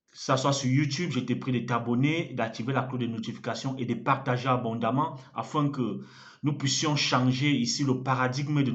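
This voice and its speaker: Earnest male evangelist, lo-fi sound. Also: mid-range voice. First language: African language. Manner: earnest, repeats phrases for emphasis, grows more fervent. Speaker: evangelist preacher. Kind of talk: online sermon to camera.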